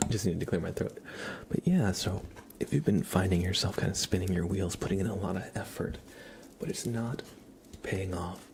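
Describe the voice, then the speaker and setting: A man hosting a casual ASMR livestream, chatting and reading cards in a rambling, soft-spoken way that drifts into whispering. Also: low voice, moderate pace. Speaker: livestream host. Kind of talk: casual live ASMR chat with tarot card readings. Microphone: headset. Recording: clear background noise.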